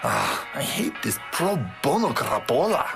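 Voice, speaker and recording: An animated, grumbling Mexican doctor. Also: male voice, on the high side, a little slow, creaky, full character voice. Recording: music behind.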